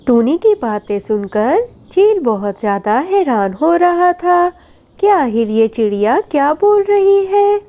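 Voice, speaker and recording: A woman's voice: high-pitched, very animated, slow. A woman narrating a scripted children's story in a dramatic way, on slightly dull audio.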